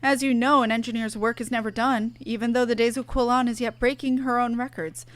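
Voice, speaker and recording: An earnest fantasy-game airship engineer, voiced by a woman, with a fairly high voice and wordy, old-fashioned turns of phrase. The lines are read as game dialogue over music. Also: flat delivery, fairly quick, fairly bright tone, dry recording, close to an everyday voice. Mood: cheerful.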